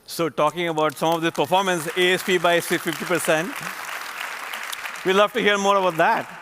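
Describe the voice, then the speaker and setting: An upbeat man with a slightly high voice hosts a conference interview on stage. A faint crowd murmur and some light background noise sit behind him. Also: fairly even intonation, normal speed. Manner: upbeat, enthusiastic host prompts.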